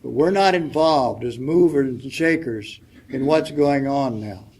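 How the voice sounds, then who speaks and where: An older man reading a letter aloud as a panelist at a public forum, soft-spoken and reflective. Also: medium pitch, measured pace, slightly rough. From United States.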